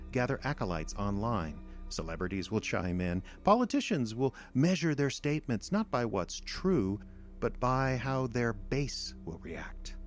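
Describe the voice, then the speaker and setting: A man playing a low-voiced, condescending alien invader. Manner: measured, lecturing, smug. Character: condescending alien invader, cold, patient and contemptuous of humanity. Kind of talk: audio drama villain monologue.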